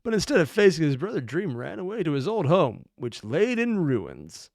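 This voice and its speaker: A man's voice: a little high, huge pitch swings, moderate pace, vocal fry, darkish tone, no room sound. Casual male reaction commentator, close dry sound. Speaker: reaction video host.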